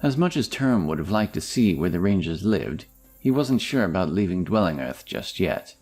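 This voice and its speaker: A man narrating a fantasy story in a measured, dramatic scripted style. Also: low-pitched, very animated, a little mellow. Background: music.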